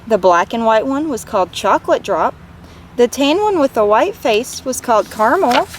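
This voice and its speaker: Lady reading a picture book to kids, kinda high voice, gentle n expressive, some noise behind her. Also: moderate pace. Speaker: read-aloud host. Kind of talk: expressive storybook read-aloud for children.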